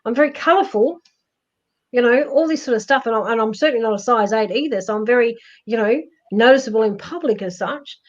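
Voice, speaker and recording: A woman with a loud voice, giving emphatic, reassuring life advice in a casual live-stream chat. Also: on the high side, brisk. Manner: emphatic, reassuring, repeats herself. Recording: dull lo-fi stream audio.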